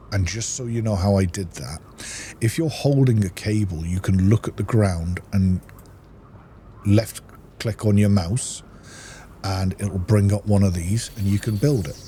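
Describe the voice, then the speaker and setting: A man with a fairly low voice hosts a casual gameplay walkthrough. He explains mechanics in a practical, chatty way to the viewer. The recording has clear background noise and game ambience behind the voice. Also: takes it slowly, pauses often, dark tone. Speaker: gaming video host.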